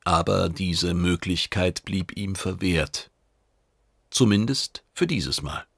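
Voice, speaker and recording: A male narrator reads a science fiction novel in a very low voice with a gravelly edge. The sound is dry and close, as in a studio audiobook. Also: slow pace, a bit bright, dry recording.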